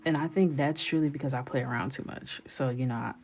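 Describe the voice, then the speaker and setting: Low-voiced chatty woman from New York. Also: quick pace, faint music behind. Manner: playful, chatty, drifts into whispers and laughs. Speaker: illustrator coloring on a livestream. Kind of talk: casual chat while coloring.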